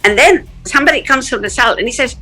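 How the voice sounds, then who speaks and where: Middle-aged woman from Palestine, interview guest, lively storyteller who laughs a lot, sharp bright tone. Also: sits high, quick pace, soft background music. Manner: animated, acts out quoted voices, laughs often.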